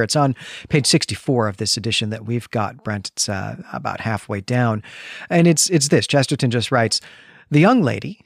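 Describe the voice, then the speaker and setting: A man's voice: mid-range voice, no room sound, clean studio sound. A man co-hosting a literary podcast, talking in a discursive, analytical, conversational way. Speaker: podcast co-host.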